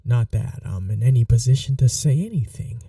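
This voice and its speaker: A man playing a calm, flirty, protective mafia boss in a soft-spoken ASMR roleplay, unhurried and reassuring with a teasing edge. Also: mid-range voice, no echo, studio quality, recorded from a distance. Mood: dry, businesslike.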